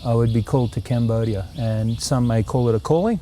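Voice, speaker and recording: A man's voice: mid-range voice, fairly quick, warm tone, a little hiss or hum. A middle-aged man with an Australian accent, answering interview questions in an earnest, reflective way. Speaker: guest.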